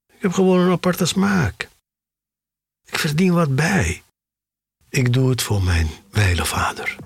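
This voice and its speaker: An actor playing a defiant, nostalgic middle-aged collector in a theatrical, halting audio drama monologue. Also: male voice, lowish voice. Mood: confiding. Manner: confiding, theatrical, halting and emphatic. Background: music.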